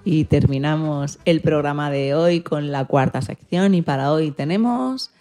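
A woman's voice: deep voice, unhurried, mellow, soft background music. A woman presenting a magazine show, partly reading from a script.

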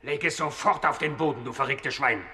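An actor playing a tense, commanding police officer in a radio drama. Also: male voice, medium pitch, fairly quick, pushed and bright, echoey room, in a large hall. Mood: commanding, urgent. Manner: commanding, urgent. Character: tense police officer ordering a suspect to halt.

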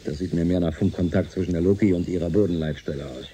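An actor in a German radio drama playing a gruff, impatient spaceship captain, brusque and commanding. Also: male voice, deep voice, fast, mellow, a little hiss or hum, natural voice.

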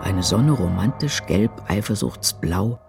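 A man reciting poetry in a measured, incantatory, deliberate way for a radio drama. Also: low-pitched, expressive, vocal fry. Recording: music behind the voice. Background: loud music.

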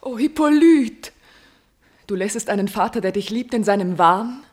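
An actress playing a noble, courageous young princess in love, declaiming impassioned verse in a classical German radio tragedy. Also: female voice, medium pitch, animated, moderate pace, darkish tone, natural voice. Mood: urgent pleading.

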